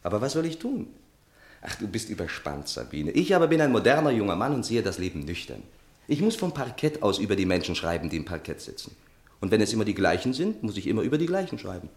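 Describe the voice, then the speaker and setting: An actor playing a weary, hard-drinking young charmer in a theatrical, cajoling 1960s German radio play style. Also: male voice, on the low side, sing-song, fast. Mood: weary, exasperated.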